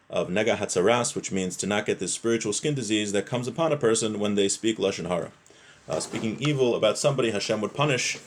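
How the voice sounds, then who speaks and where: Man teaching a religious text, explaining as he goes. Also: on the low side. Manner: explanatory, rephrasing and posing questions to listeners. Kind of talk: religious lesson, reading a text aloud and explaining it.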